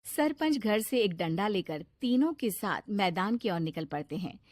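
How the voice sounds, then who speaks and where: A woman's voice: mid-range voice, expressive, normal speed, a little mellow, no echo, studio quality. A woman reading scripted narration for a children's cartoon story.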